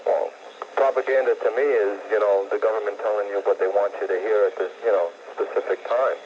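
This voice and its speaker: A man from Italy calls in to a talk radio show, rambling and hesitant as he keeps reaching for "you know". His voice sits on the low side. The call comes through a dull, muffled old radio recording with faint hiss. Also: fairly even intonation, brisk. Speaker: caller.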